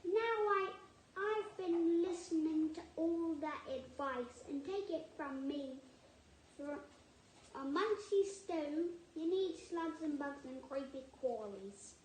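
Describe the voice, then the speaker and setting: A woman's voice: sits high, takes it slowly. A young female reader voicing a bossy, bristly echidna from a storybook, insistent and assertive. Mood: bristling, insistent. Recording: echoey room, faint background noise.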